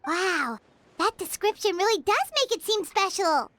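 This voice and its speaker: A woman voicing a small, cheerful, eager fairy companion with a squeaky childlike voice in a video game. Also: animated, measured pace, fairly bright tone, dry recording, heavily acted. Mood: excited. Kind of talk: animated video game dialogue.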